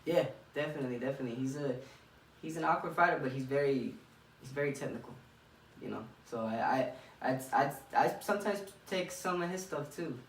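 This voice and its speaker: Young boxer in an echoey, distant recording. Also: male voice, medium pitch, a little fast. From Miami, United States. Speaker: professional boxer being interviewed. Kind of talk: casual interview chat. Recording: echoey room, distant mic, faint noise.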